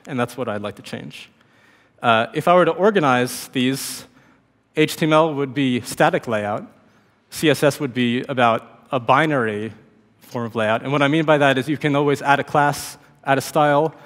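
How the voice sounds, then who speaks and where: A man in his thirties, slightly hoarse from a cold, gives a technical conference talk at a podium. His middling pitch sits easily, and he talks in a relaxed way with plenty of fillers and restarts. The sound carries the long reverb of a big hall. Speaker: conference speaker. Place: large conference hall stage.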